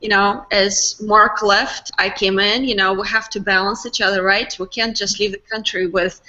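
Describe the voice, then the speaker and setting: A woman's voice: medium pitch, steady tone, moderate pace, sharp tone, highs cut off. A woman around forty, raised in Russia, chatting upbeat as a guest on a video call. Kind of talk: casual video call chat.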